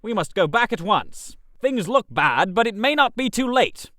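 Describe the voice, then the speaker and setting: A man voicing a self-assured male playwright, brisk, knowing and slightly pompous. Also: high-pitched, very animated, bright, forceful tone, no echo, studio quality, exaggerated voice. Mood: knowing, unflappable.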